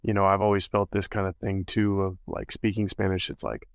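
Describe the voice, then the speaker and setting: A man's voice: low voice, level tone, a little fast, gravelly, no room sound, clean studio sound. A male podcast host chatting casually and rambling, with many fillers. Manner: rambling, full of "you know" and "like". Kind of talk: casual interview chat.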